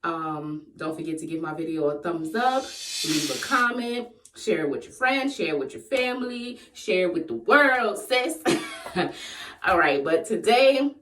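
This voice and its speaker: A woman's voice: lowish voice, animated, a little slow, fairly bright tone, slight background noise. Playful woman vlogger, echoey and distant. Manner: playful, laughs often, breaks into sung bits. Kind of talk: casual Q&A vlog. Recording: echoey room, voice far from the mic.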